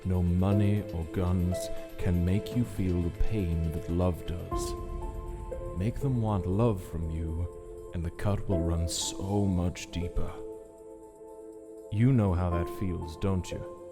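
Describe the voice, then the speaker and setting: A man's voice: low voice, steady tone, slow pace. A man voicing a smug, cynical cartoon casino boss with a bitterness underneath, in a sly, drawling, theatrical manner. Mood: cold menace. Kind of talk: scripted comic dub character acting. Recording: music under the voice.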